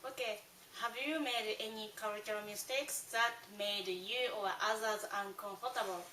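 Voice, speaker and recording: A woman's voice: mid-range voice, normal speed, slight background noise. A woman interviewing a young man, reading short questions and murmuring brief acknowledgements. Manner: brief, soft backchannel murmurs between questions. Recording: roomy, distant mic.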